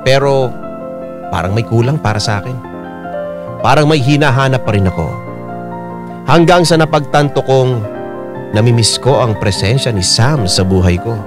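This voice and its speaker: A man's voice: medium pitch, animated, moderate pace, long pauses. A man narrating a dramatic first-person story as a radio storyteller. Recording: piano music behind the voice.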